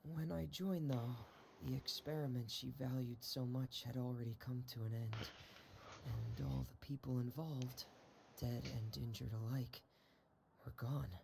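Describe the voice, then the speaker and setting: An actor playing a shy, earnest young man in a video game, speaking softly and solemnly. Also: medium pitch, fairly even intonation, creaky, slight background noise, poor audio. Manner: soft, measured, solemn storytelling. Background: game soundtrack music.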